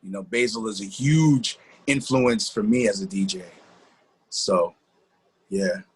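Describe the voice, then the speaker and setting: A man's voice: lowish voice, unhurried, husky, poor audio. A middle-aged man reminiscing casually and colloquially, often repeating his phrases. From New Jersey. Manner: colloquial, repeats phrases, gives shout-outs.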